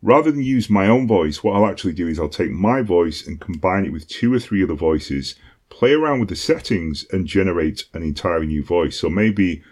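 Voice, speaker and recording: A British man with a deep voice giving a casual tutorial, talking conversationally with frequent ums and "okay?" check-ins. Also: expressive, a little fast, darkish tone. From Britain. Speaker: online course instructor.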